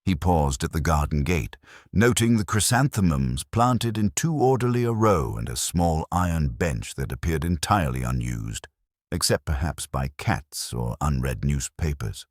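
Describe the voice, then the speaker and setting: A man narrating a mystery story in a dry, measured, wry manner. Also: extremely low pitch, big pitch swings, no echo.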